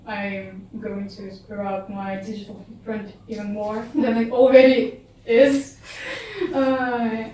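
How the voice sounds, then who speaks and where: A woman's voice: medium pitch, a little mellow, a little hiss or hum. A young woman who is a native Russian speaker, a podcast guest talking hesitantly with many fillers. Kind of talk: casual chat. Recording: echoey room, far from the mic.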